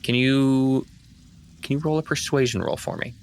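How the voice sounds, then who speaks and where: A man's voice: medium pitch, lively intonation, moderate pace, gravelly, slight background noise. A man running a tabletop roleplaying game as game master, switching between casual table talk and narration.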